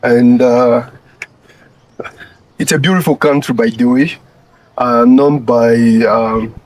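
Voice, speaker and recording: A young male student answering slowly and hesitantly, with many fillers and restarts. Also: mid-range voice, darkish tone, no room sound. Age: young adult. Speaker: international student.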